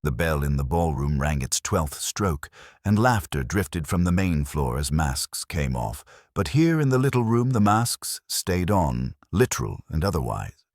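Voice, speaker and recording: A man reading a first-person detective story as scripted narration, in a measured, deliberate storytelling manner. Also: extremely low pitch, sing-song, no echo, studio quality. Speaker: audiobook narrator.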